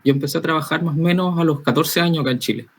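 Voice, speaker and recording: A young man from Spain speaks as an interview guest, open and candid. He talks conversationally and warms up when he criticizes his field. His voice sits a touch high for a man. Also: racing. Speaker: guest, safety professional. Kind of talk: casual interview talk.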